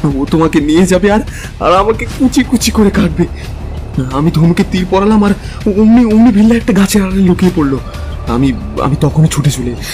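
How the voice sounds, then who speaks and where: Man acting an agitated, high-voiced young caveman. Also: expressive, darkish tone, faint music behind. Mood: breathless, anxious. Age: young adult. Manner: breathless, agitated, halting with repeated words. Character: frightened but defiant young caveman hunter with bow. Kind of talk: dramatized audio story acting.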